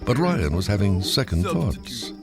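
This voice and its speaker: A man's voice: fairly low pitch, very animated, unhurried. A man reading a scripted children's read-along story as its narrator. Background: music.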